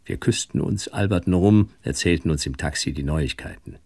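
An actor playing a worldly, detached married man, narrating and speaking in a radio drama with a husky voice. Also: a bass voice, moderate pace, darkish tone, dry recording, clean studio sound. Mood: reflective. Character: worldly, detached married man recounting his own story. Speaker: narrator and male lead. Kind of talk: first-person radio drama narration and dialogue.

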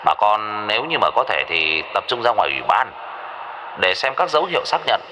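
A village chief, voiced by a male storyteller, reads a formal notice over a noisy village loudspeaker. His voice is low and lilts widely, with static hiss under every word. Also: sing-song, brisk. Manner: formal public announcement, repeated calls.

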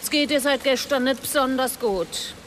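An actress playing a polite, helpful and unsuspecting daughter in a German radio drama. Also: female voice, high voice, normal speed, noisy background, lightly acted. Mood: politely curious. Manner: polite, casual.

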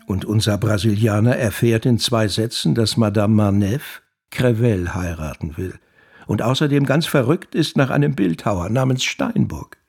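An actor playing a proud novelist who confides in the listener in a radio play, conversational and gravelly. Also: male voice, lowish voice, moderate pace, a little mellow. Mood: confiding, self-satisfied. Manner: conversational, self-satisfied, confiding. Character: proud, chatty male novelist presenting his own novel. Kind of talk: first-person narration in German radio play. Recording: music playing under the voice.

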